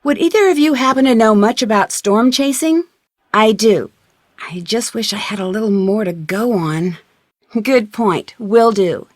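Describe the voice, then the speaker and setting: A woman playing a curious, confident young amateur detective in a video game, speaking in a clear, inquisitive, polite and self-assured way. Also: fairly high pitch, no room sound, studio quality.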